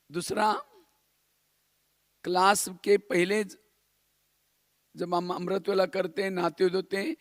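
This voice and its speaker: High-pitched male spiritual teacher. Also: no echo, clean studio sound. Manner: didactic, repeats phrases and poses rhetorical questions. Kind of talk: spiritual class discourse.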